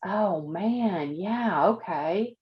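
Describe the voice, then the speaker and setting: A woman's voice: mid-range voice, animated, extremely slow, dull audio. A woman business coach hosting an interview over a video call, encouraging and repeating words as she thinks. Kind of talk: interview host, casual.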